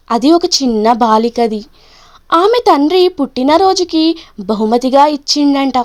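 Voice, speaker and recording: A woman voicing a small, earnest bird in a children's storybook, polite and gentle in the way it explains and asks. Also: sits high.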